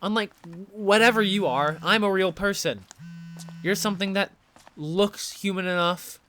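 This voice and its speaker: An actor as a sharp-voiced, sarcastic young student. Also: mid-range voice, a little slow, slight background noise, natural voice. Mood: exasperated. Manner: sarcastic, blunt, sweary. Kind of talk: audio drama acting.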